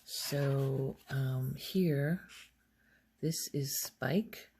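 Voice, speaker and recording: Low, creaky, soft-spoken ASMR woman. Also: noisy background. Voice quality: soft, often breaking into whisper. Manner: unhurried, halting, thinking aloud. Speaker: ASMR video host. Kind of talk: soft-spoken ASMR, casual rambling show-and-tell.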